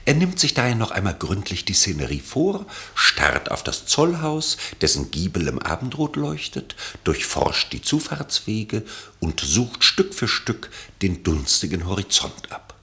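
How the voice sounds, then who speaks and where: A man narrating a literary radio play from a script in an expressive, wryly elevated manner. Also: on the low side, a little slow.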